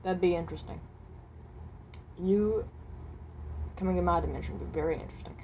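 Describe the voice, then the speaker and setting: A woman's voice: lowish voice, brisk, a little mellow, slight background noise, natural voice. A woman playing a guarded, awkward animatronic possessed by someone who died at seventeen, in a hesitant, rambling amateur monologue. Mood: reluctant, guarded. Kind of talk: amateur character voice acting, improvised monologue.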